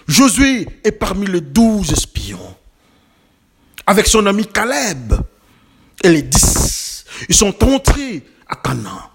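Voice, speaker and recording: A man's voice: high-pitched, huge pitch swings, slow, vocal fry, slight background noise. A man preaching a sermon with emphatic, building repetitions and rhetorical questions, slightly congested from a cold.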